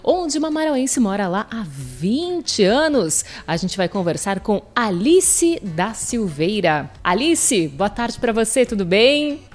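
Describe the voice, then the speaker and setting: A woman's voice: medium pitch, big pitch swings, slow, hardly any pauses, faint music behind. A woman hosting an interview show, introducing the guest in a chatty way. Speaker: podcast host.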